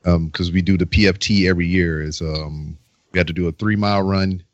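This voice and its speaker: Casual male storyteller, lowish voice, crude and sweary, dry but slightly muffled recording. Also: steady tone, a little fast, no room sound. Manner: crude, heavy swearing, anecdotal. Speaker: military veteran. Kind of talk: casual banter.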